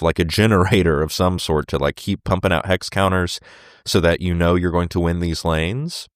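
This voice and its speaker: Deep, smooth-voiced sick man hosting. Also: no echo, clean studio sound. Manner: clears throat, many fillers. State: sick, sore throat, losing his voice. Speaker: podcast host. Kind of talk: casual solo podcast.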